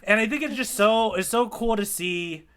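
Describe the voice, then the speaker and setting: Male podcast co-host, somewhat higher pitched, enthusiastic, casual and slangy talker. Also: moderate pace, a bit bright, a little hiss or hum. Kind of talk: casual chat about manga.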